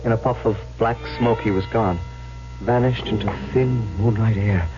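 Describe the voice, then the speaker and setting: An actor playing a tormented young huntsman, gravely and dramatically recounting his tale in old-time radio style. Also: fairly low pitch, fairly even intonation, normal speed, over music, lightly acted. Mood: grim, haunted. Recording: dull old radio recording.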